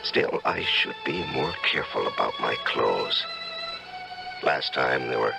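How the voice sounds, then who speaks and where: An actor playing a composed, calculating murderous judge in old-time radio drama style, theatrical and authoritative. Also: male voice, extremely low pitch, expressive, measured pace, gravelly, over music, heavily acted, poor audio. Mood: secretive, brooding. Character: secretly murderous male judge, composed, calculating, self-satisfied.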